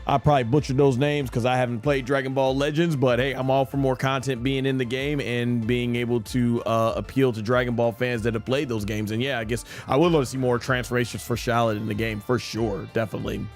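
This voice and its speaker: A man's voice: mid-range voice, little pitch movement, brisk, hardly any pauses, soft background music. A man giving casual, rambling live-stream gaming commentary, thinking aloud as he goes. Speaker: live streamer and amateur voice actor.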